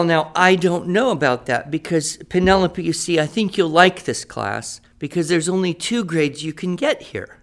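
A man's voice: fairly high pitch, vocal fry, a bit bright, full character voice. A man playing a patient writing teacher in a radio drama, explaining step by step and checking that students follow. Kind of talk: improvised-sounding classroom teaching in a radio drama.

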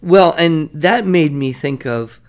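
A man's voice: on the high side, takes it slowly. A man co-hosting a podcast, telling stories from teaching in a reflective, conversational way. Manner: storytelling, reflective. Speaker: podcast co-host. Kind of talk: casual podcast discussion.

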